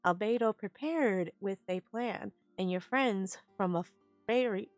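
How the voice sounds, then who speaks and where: A woman game streamer speaks at a medium pitch into a close, dry mic. She moves between reading story text aloud and casual livestream chat, with faint music underneath. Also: no echo.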